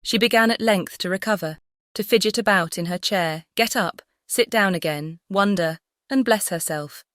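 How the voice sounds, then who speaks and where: A woman's voice: mid-range voice, normal speed, a bit bright, no echo, studio quality. A woman reading a classic novel as scripted audiobook narration.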